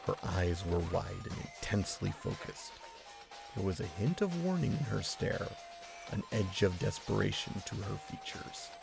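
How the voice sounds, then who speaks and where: Guy narrating a fan story, super gravelly low voice, music under it. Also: unhurried. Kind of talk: audiobook-style story reading.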